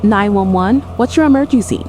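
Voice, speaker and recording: A woman playing a steady emergency dispatcher, giving firm, controlled instructions. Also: medium pitch, a little fast, a little hiss or hum, natural voice. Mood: calm, firm. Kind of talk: audio drama acting.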